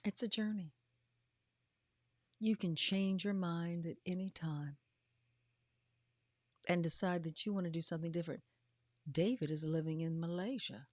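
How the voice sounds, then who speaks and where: A woman in her fifties or older giving a motivational talk as a host, with emphatic, drawn-out delivery that often drops into breathy, hushed phrases. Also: on the low side, dry recording, clean studio sound. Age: 50s or older. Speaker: podcast host and coach. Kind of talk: motivational livestream talk to followers.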